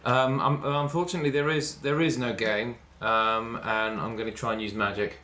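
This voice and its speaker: A man's voice: mid-range voice, fairly even intonation, normal speed, pushed and bright. A man improvising casually as a tabletop game player, hesitant and self-deprecating.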